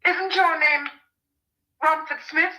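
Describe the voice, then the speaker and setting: A woman's voice: sits high, fairly even intonation, slow pace, bright, forceful tone, full character voice. An actress playing an earnest, anxious young woman confronting a secretive houseguest in a radio drama. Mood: tense, accusing.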